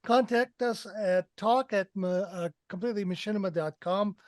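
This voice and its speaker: A man's voice: high voice, moderate pace, dry recording, studio quality. A man in his sixties hosting a film review discussion, talking emphatically and repeating phrases for effect. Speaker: podcast host. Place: indoor room on a video call. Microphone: headset.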